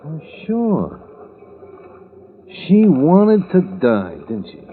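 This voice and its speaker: An actor playing a sympathetic, folksy pal who speaks slowly, in the style of a 1940s radio thriller. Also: male voice, fairly high pitch, huge pitch swings, heavily acted. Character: slow, sympathetic male pal listening to a confession. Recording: tinny old radio recording.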